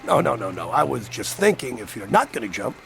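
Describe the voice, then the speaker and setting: Sly, creaky, theatrical older stranger. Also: male voice, mid-range voice, sing-song, a little fast, crowd noise. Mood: amused, teasing. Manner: theatrical, teasing, ornately polite. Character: sly, courtly older male stranger, devilishly cheerful. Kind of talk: radio drama acting.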